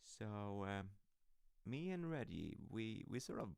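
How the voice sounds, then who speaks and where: A man's voice: fairly low pitch, very animated, slow, creaky, a little mellow, smooth, no echo, clean studio sound, exaggerated voice. A man playing a loving, sassy, sheepish husband in an intimate ASMR roleplay, rambling to a sleepy partner with teasing, hesitant asides.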